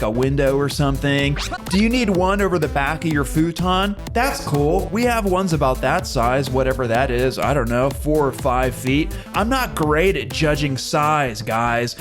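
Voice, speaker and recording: A young man playing a laid-back, clueless shop pitchman who drawls vaguely and casually through a rambling comedic ad. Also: natural voice. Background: music under the voice.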